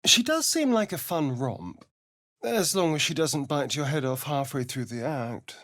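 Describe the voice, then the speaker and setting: A British actor playing a sly, vain vampire spawn rogue in a video game, with an arch, theatrical, drawling delivery. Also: male voice, on the high side, animated, a little slow, a bit bright, dry recording, studio quality. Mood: arch, teasing. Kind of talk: dramatic video game voice acting.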